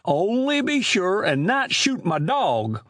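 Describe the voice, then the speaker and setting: A man's voice: sits high, wildly swooping pitch, slow, a bit bright, no room sound, studio quality, voice pushed up, heavily acted. A man voicing a folksy old village storekeeper in a storybook, with rustic, ungrammatical country talk. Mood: helpful, folksy. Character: folksy old male village storekeeper, aggrieved but generous. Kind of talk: storybook character voice.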